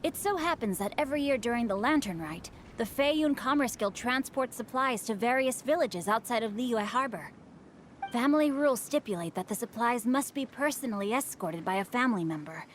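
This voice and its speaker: A woman's voice: fairly high pitch, expressive, a little fast, a little hiss or hum, lightly acted. A woman voicing a courteous, self-assured, bookish young nobleman in a video game, speaking formally and eloquently with a theatrical touch.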